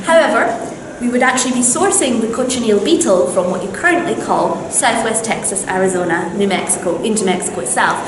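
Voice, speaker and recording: A woman's voice: on the high side, fairly quick. A woman acting as a costumed palace guide, speaking in a formal, theatrical period manner. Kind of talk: in-character historical interpretation. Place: large stone palace room. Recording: echoey hall with background noise.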